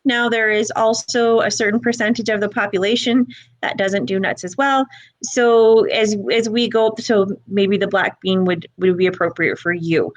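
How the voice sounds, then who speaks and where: A woman's voice: medium pitch, steady tone, normal speed, no room sound. A woman from Alberta, Canada, a recipe creator co-hosting a live cooking stream, chatty and prone to repeating words as she starts a thought. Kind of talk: live-streamed casual chat.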